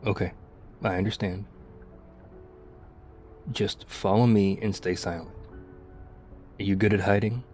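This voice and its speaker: A low, mellow-voiced survivor of the zombie apocalypse, acted by a man, speaks hushed and measured over faint music. He is battle-hardened and weary, guarded yet quietly caring, in an intimate ASMR audio drama. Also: steady tone, lightly acted. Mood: terse, focused. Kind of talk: ASMR audio roleplay, intimate one-sided dialogue.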